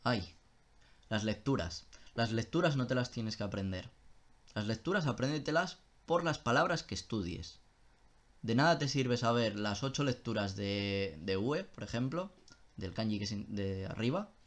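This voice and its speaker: A man's voice: mid-range voice, lively intonation, normal speed. A young man chatting casually on a live stream, answering viewer questions in a loose style with swearing and self-interruptions.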